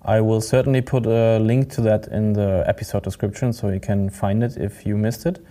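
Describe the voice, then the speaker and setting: A male podcast host with a lowish, mellow voice leads the interview in a friendly, chatty way. He fills his questions with ums and uhs as he builds toward them. His tone stays warm and relaxed rather than bright. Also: moderate pace. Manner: friendly, chatty, many fillers.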